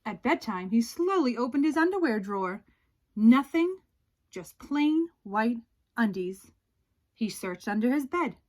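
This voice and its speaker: A woman reading a children's picture book aloud as a storyteller, in an expressive, dramatic way with drawn-out suspense. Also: on the high side.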